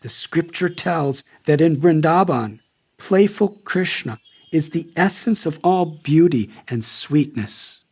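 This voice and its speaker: Husky, serene holy man teaching reverently, read by a man. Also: on the high side, animated, takes it slowly, darkish tone, full character voice. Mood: serene, devout. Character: serene, mysterious, ageless male Indian holy man, devout.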